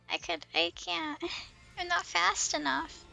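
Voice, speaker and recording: A woman's voice: very high voice, creaky, sharp tone. A woman livestreamer giving casual, easily flustered commentary as she talks herself through a game. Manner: giggly, easily flustered, self-talking. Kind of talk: casual live gameplay commentary. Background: background music.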